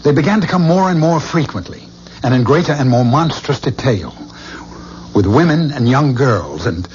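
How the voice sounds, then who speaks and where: An actor in a 1970s radio drama playing an anguished, haunted man who believes he is a killer reborn, with intense delivery and weighted pauses. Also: medium pitch, expressive, a little mellow, natural voice. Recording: dull, noisy 1970s radio recording.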